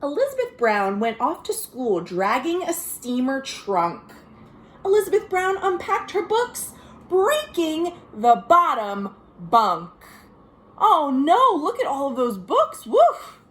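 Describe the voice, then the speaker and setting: An American woman, a children's librarian, reading a picture book aloud in an expressive, sing-song way with chatty asides to young listeners. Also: high voice, slow pace, roomy sound. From United States. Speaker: children's librarian hosting a virtual storytime. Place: at home.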